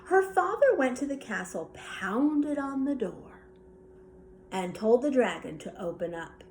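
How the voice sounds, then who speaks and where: A woman reads a children's bedtime story expressively in a high voice. She takes it very slowly, with a little noise in the background. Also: big pitch swings, a little mellow. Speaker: storybook reader.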